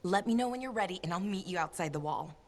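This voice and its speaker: An impulsive thrill-seeker of a young pilot from a video game, voiced by a woman. Her voice sits a little low, and she talks brashly, flaring up fast when something offends her. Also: expressive, a little hiss or hum, lightly acted. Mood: spirited. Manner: brash, quick to indignation.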